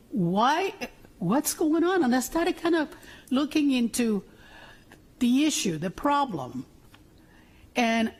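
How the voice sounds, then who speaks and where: A woman from Puerto Rico giving informal testimony at a public hearing, telling it through anecdotes and quoted remarks. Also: medium pitch. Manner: anecdotal, acts out remembered remarks. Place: city council chamber hearing.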